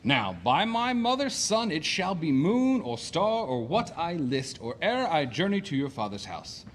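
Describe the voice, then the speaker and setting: Man as a domineering high-voiced husband. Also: very animated, unhurried. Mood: contrary, commanding. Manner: declamatory, commanding. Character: domineering, capricious husband, grandly contrary. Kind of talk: Shakespearean comedy audio play acting. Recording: noticeable background noise.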